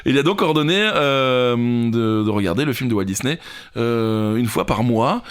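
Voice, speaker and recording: A man's voice: medium pitch, expressive, a little slow, smooth. A man co-hosting a quiz podcast, telling trivia in an informal, jokey way. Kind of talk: casual quiz banter with trivia anecdotes.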